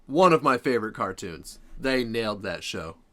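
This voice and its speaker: A man's voice: on the low side, very animated, normal speed, sharp tone. A man giving casual reaction commentary as the host, informal and approving, often repeating his points.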